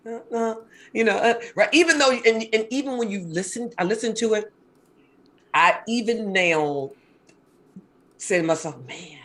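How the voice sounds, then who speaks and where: Deep-voiced, fast-talking woman. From Maryland, USA. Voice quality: deep husky voice. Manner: fast-talking, animated storyteller. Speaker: interview guest. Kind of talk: casual interview chat.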